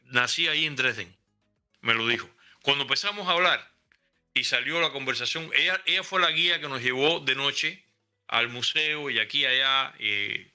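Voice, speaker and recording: A man's voice: mid-range voice, sharp tone. An older Cuban man with a Cuban accent, telling a rambling personal story in casual conversation, often restarting his sentences. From Cuba. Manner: rambling anecdotes with many restarts. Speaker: guest.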